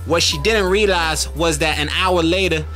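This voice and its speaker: A man acting a brisk, methodical homicide sergeant in an amateur radio mystery drama. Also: a little high, loud music behind the voice, close to an everyday voice. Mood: knowing, firm.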